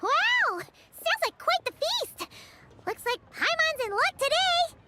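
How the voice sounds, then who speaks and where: A woman voicing a tiny, childlike, greedy companion creature in a video game, squeaky, excitable and exclamatory. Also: slow, heavy background noise, full character voice. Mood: excited, playful. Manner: squeaky, exclamatory, refers to self by name. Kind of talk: English video game voice acting.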